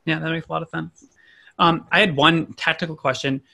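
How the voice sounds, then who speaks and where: A young man hosts a panel on a video call and asks pointed questions. His voice is gravelly yet bright and sharp. He speaks into a desk microphone. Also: fairly high pitch, steady tone, a little fast. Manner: inquisitive, poses questions. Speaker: host. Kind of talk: moderating a panel.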